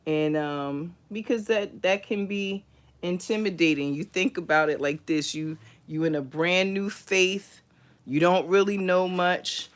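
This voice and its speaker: Slow, smooth-voiced woman host. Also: on the low side. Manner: unhurried, thoughtful, many fillers and restarts. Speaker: host of a book review livestream. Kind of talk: casual livestream book talk.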